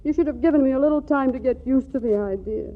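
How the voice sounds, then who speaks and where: An actress playing a flustered, wry wife torn between love and money in an old radio comedy, with an arch, hesitant delivery. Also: female voice, high-pitched, quick pace, natural voice. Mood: hurt, indignant. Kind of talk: 1940s radio comedy acting. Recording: tinny old radio broadcast, music behind.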